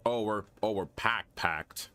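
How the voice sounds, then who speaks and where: A man's voice: fairly low pitch, measured pace, sharp tone. A young man with a Guyanese American accent, chatting casually and thinking aloud while he plays a game. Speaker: gaming streamer. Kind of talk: casual gameplay commentary.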